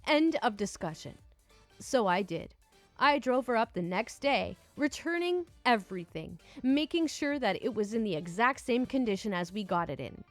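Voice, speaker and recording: A woman narrates online stories with a lively, swooping delivery, her voice sitting a little high. She throws in exasperated, indignant asides between the lines she reads. Faint music runs quietly underneath. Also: normal speed. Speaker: storyteller reading online stories. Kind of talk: story narration with commentary.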